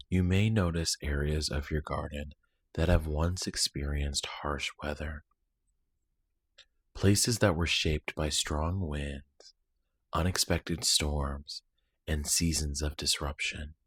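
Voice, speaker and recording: A man guiding a scripted meditation in a slow, soothing, deliberate manner with long pauses. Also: a bass voice, breathy edge, no echo. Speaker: guided meditation host.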